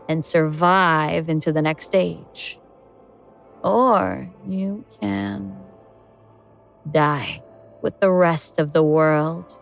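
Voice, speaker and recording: A woman playing an ancient, powerful elder god in human guise, speaking with deliberate, imperious menace in a horror audio drama. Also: lowish voice, gravelly.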